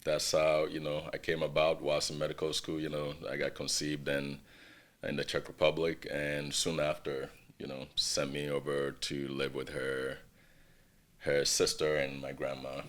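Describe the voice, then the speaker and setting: A middle-aged man from Ghana, a podcast guest, telling his life story in a relaxed way, with lots of "you know" and "right". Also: rumbling low, measured pace. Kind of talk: casual life-story interview.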